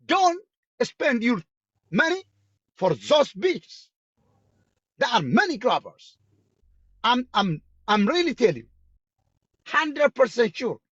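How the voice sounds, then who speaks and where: A man's voice: very high voice, a bit bright, husky, no echo. Ethiopian guy ranting, repeats himself a lot, muffled dry audio. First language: Amharic. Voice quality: halting, repetitive, strong-worded. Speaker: reaction stream host. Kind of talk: heated political rant.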